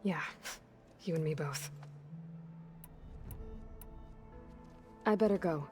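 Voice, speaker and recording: Low-voiced, guarded young heroine played by an actress. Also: female voice, normal speed, darkish tone, faint music behind, close to an everyday voice. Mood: guarded, dry. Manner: understated, terse, reserved. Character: guarded, determined young heroine, dry and weary. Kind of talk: naturalistic video game cutscene acting.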